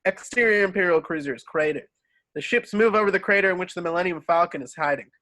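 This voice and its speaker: A man narrates stage directions for an amateur script reading. His voice is fairly high and sharp, reading scene headings and action plainly. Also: fast.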